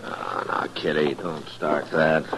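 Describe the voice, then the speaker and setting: A man's voice: a bass voice, little pitch movement, takes it slowly, noisy background, heavily acted. A man acting a stern, world-weary US Marshal in a 1950s western radio drama, terse, grave and plain-spoken. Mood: easygoing. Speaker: lead actor and first-person narrator. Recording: muffled old radio recording with music.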